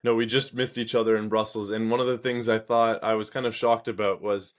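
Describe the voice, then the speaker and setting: A man hosting a podcast, asking rambling, relaxed interview questions. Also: lowish voice, fairly quick. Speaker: podcast host.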